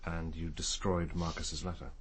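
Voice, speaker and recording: Radio crime drama: quiet, perceptive detective, lowish breathy voice, courteous and probing. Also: male voice, little pitch movement, moderate pace. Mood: grave, restrained. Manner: restrained, probing, formal.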